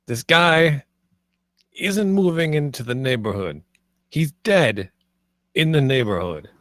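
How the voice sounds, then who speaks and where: A deadpan young man played by a male reader from an animated comedy. He speaks slowly and hesitantly in a mid-pitched voice, recorded very dry. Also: no echo. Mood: puzzled. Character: hesitant, reasonable 28-year-old man, deadpan roommate. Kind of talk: animated comedy script table read.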